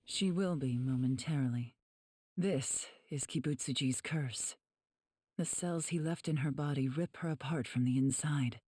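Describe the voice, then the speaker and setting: A woman voicing a calm, gentle, refined demon healer in an anime game, soft and breathy, speaking in a measured, formal way. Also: extremely low pitch, no echo, clean studio sound, heavily acted. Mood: solemn, calm.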